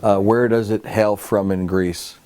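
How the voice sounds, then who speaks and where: A male interviewer with a low, mellow voice introduces his guest and asks questions in a measured, friendly way, with a few small restarts. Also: steady tone, smooth. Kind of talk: oral history interview. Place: guest's home.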